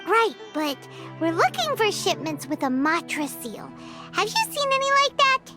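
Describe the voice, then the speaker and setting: A woman's voice: very high voice, very animated, normal speed, loud music behind the voice. A woman voicing a tiny floating female sprite companion in a game, chirpy and encouraging. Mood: curious. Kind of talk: game dialogue. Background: game soundtrack.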